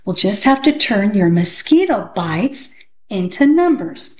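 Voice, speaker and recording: A woman voicing a brisk, firm, matter-of-fact female schoolteacher in a children's storybook reading. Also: medium pitch, very animated, a little mellow, roomy sound, slight background noise.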